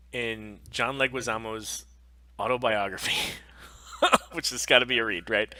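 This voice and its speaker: A man's voice: fairly low pitch, sharp tone. A man co-hosting a podcast in casual chat, speaking drily and adding wry comments. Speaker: podcast co-host.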